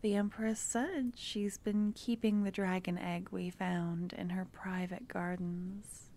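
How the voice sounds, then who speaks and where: A woman playing a young female court necromancer who is tenderly affectionate and playful with her lover, speaking softly and often in a whisper for an ASMR roleplay. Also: mid-range voice, flat delivery, slow pace. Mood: tender, teasing. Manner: soft, intimate, often whispered.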